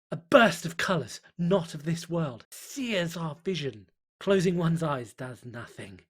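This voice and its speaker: A man voicing a fanatical cult diarist, reverent and zealous, in a solemn, deliberate horror story narration. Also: a little high, slightly rough, heavily acted. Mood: rapt, zealous.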